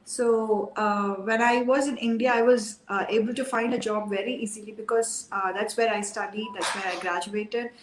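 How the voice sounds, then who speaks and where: A woman's voice: a little high, steady tone, normal speed, hardly any pauses. Woman guest, hesitant with many fillers, roomy sound, faint noise. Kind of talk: casual chat.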